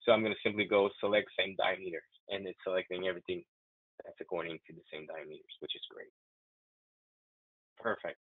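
Enthusiastic man demoing CAM software. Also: low voice, little pitch movement, fairly quick, pauses often, dry recording. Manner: enthusiastic, chatty, many restarts. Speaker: software demonstrator. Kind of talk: live software demo walkthrough. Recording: tinny phone-like, dry audio.

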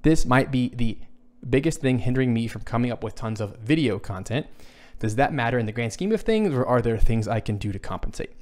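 A man in his thirties with a low voice, a music composer answering viewer questions in a casual livestream from his small home studio, thinking aloud with frequent fillers and restarts. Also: lively intonation, brisk, hardly any pauses, a little mellow. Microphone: boom studio microphone, headphones on.

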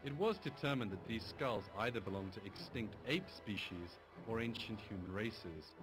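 Low-voiced man narrating a documentary over music. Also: poor audio. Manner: measured, formal. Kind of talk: scripted narration.

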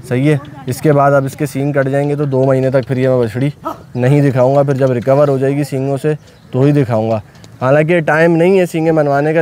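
Pakistani guy vlogging at his cattle farm outside, excited, keeps saying blessings, mid pitch. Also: slight background noise. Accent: Pakistani Urdu. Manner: enthusiastic, repeats blessings often. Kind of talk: casual vlog narration. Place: outdoor cattle farm yard.

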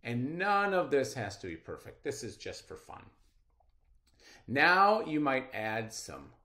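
A middle-aged man reading aloud and explaining simply to children as a schoolteacher, with deliberate enunciation. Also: mid-range voice, sing-song, bright, forceful tone. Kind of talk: children's read-aloud lesson.

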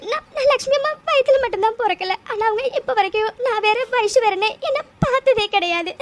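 A high, tear-choked young woman's voice, from an actress playing a vulnerable orphan in a romantic drama. She stumbles and repeats her words while crying. A faint hiss sits under the recording. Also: a little mellow. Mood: tearful. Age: young adult. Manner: tearful, halting, repeats words.

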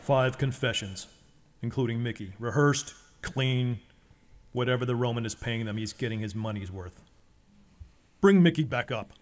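A man's voice: mid-range voice, normal speed, slight background noise, close to an everyday voice. A man playing a stern, brooding vigilante detective in a radio noir, with terse delivery. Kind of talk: noir first-person narration.

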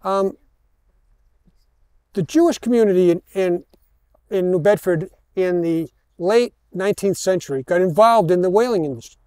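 An older man with an American accent giving an informal history talk as a walking tour guide, halting and digressive as he tells his stories. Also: sits high, mellow, clean tone, no echo. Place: outdoors in an open parking lot. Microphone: headset mic.

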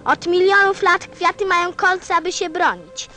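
Very high-voiced earnest little boy. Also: moderate pace, bright, forceful tone. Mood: upset, indignant. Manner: childlike, insistent questioning. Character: small earnest, sensitive little prince boy from another planet. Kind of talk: radio play acting.

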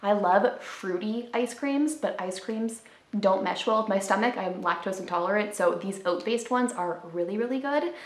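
A woman's voice: medium pitch, moderate pace. Chatty young woman in an echoey living room. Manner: chatty, upbeat, lots of "like" fillers. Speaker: lifestyle vlogger. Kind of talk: casual grocery haul chat to camera. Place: living room at home, indoors.

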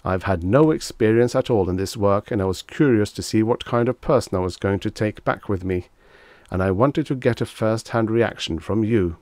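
A man voicing a formal, earnest novice agent of death in a story reading, with a stiff, polite, measured delivery. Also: lowish voice, darkish tone, no room sound, close to an everyday voice. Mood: embarrassed, gloomy.

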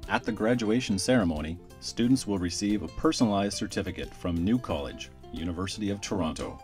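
Low-voiced male promo narrator. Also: expressive, a little fast, over music. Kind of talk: scripted voice-over narration.

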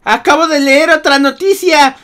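A man hosting a gaming roleplay, chatting excitedly and repeating phrases urgently. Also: extremely high pitch, normal speed. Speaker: gaming video host and player.

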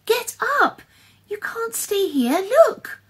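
A woman's voice: very high-pitched, very animated, takes it slowly, fairly bright tone, voice pushed up, heavily acted. A woman voicing a brave, panicked young girl who pleads desperately in a storybook reading. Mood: frantic, urgent.

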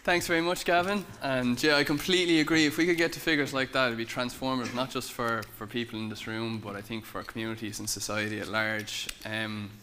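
Young guy hosting a conference, bright voice, upbeat notices. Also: mid-range voice, fairly even intonation, fairly quick, few pauses, slight background noise. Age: young adult. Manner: upbeat, informal, reads out notices. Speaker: conference co-host. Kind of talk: hosting announcements. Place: stage of a large conference hall.

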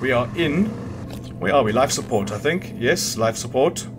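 A man's voice: medium pitch, animated, moderate pace. Casual man narrating a game walkthrough, music behind. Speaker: gamer hosting a video game guide. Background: video game music and sounds.

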